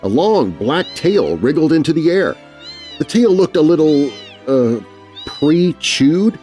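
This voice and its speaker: Male narrator for kids' spooky fiction: confiding, chatty, highly expressive, light music underneath. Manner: conversational, confiding, playing a young storyteller. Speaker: audiobook narrator. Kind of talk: animated first-person children's story narration.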